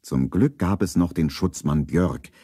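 A man's voice: low voice, a little slow, dark tone, breathy edge, no room sound, studio quality. A man narrating a scripted children's radio play as a storyteller.

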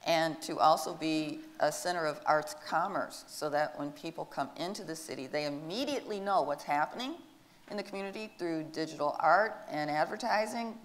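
A woman's voice: low-pitched, normal speed, in a large hall. A woman speaking as a panelist about an airport arts program, eager and promotional. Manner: enthusiastic, promotional. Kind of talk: panel discussion. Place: stage before an audience in a large hall.

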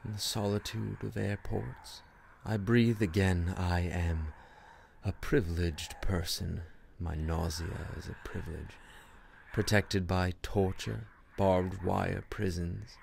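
An actor as a gravelly, low, tormented antihero. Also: male voice, darkish tone, slight background noise, exaggerated voice. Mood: weary self-loathing. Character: tormented, self-loathing, brooding male tragic antihero. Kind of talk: dramatic radio play monologue.

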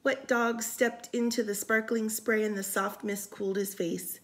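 A woman's voice: on the high side, flat delivery, measured pace, slight background noise. Woman reading a children's picture book aloud. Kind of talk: read-aloud children's storytime with casual asides. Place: indoors, room by a window.

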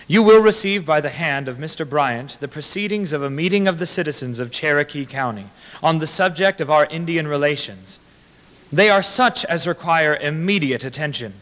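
A man's voice: fairly high pitch, a bit bright. A man in his twenties formally reading historical letters aloud at a podium microphone in a hall. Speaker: dramatic reader. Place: large indoor hall with brick walls.

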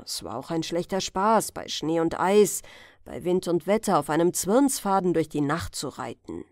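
A woman narrating a scripted fairy tale as a bedtime audiobook, expressive and carefully phrased. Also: fairly low pitch, normal speed, no echo, studio quality.

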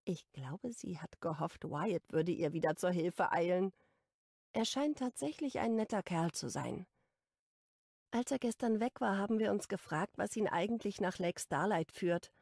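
A woman reading a romance audiobook in calm first-person narration, her voice carrying a touch of vocal fry. The sound is dry and close, with no room around it. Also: lowish voice, a little slow, no echo. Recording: dry close studio recording.

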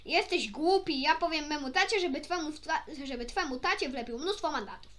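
A woman voicing a hot-headed, squabbling schoolboy in a storybook, loud, huffy and contradicting. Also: high voice, fairly quick.

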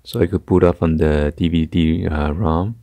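Man, tutorial host, very low mellow voice, halting delivery, casual instruction, close dry sound. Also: steady tone, no room sound. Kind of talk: casual hands-on tech tutorial. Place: carpeted room indoors.

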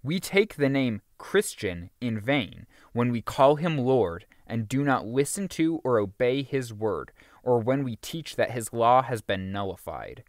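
A man narrating a religious teaching audiobook in an earnest, emphatic, deliberately phrased way. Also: medium pitch, very animated, no echo, clean studio sound. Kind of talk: scripted audiobook reading of a religious teaching book.

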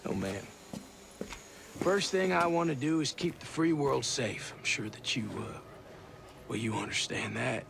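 A man playing a tough, confident American special forces soldier in a video game, talking casually with measured military pauses. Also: mid-range voice, expressive, creaky, background noise. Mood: cool, persuasive. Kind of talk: video game cutscene acting.

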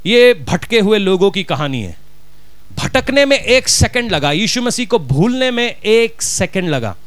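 A man's voice: high-pitched, big pitch swings, measured pace, a bit bright, dry recording. A middle-aged man with a Punjabi accent, a pastor preaching a sermon from a church stage with a handheld mic, driving his points home with rhetorical questions. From Punjab, India.

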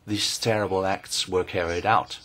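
A Norwegian man with a Norwegian accent, giving a measured, halting formal answer as a parliamentarian at a hearing. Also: low voice, steady tone, sharp tone. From Norway.

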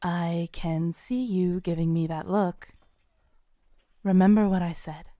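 Smooth, coaxing woman fortune teller. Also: fairly low pitch, steady tone, slow. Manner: soft, coaxing, gently teasing. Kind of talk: scripted roleplay audio.

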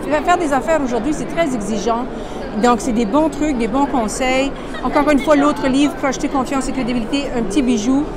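A woman's voice: high-pitched, brisk. A woman in her fifties with a Quebec French accent, interviewing informally and effusively on camera. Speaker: interviewer, book club host. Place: large indoor exhibition hall at a book fair. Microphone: phone at arm's length. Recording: loud busy book fair crowd.

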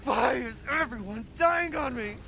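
A man's voice: very high voice, lively intonation, unhurried, gravelly, sharp tone, slight background noise, exaggerated voice. An urgent, reassuring father hero. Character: determined father searching for his daughter. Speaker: protagonist. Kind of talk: video game cutscene acting.

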